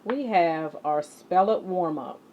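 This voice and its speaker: Slow-talking woman teacher on muffled, noisy audio. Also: fairly low pitch, expressive, darkish tone. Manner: deliberate, emphatic, addressing students directly. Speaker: teacher and exercise leader. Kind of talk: instructional lesson to children, read-along with warm-up exercises. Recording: muffled audio with clear background noise.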